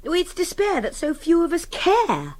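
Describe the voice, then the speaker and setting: A woman's voice: extremely high pitch, huge pitch swings, unhurried, a little mellow, exaggerated voice. An actress playing a defiant, superior young captive woman with an English accent, articulate and impatient, in radio drama dialogue. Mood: despairing, passionate. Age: young adult.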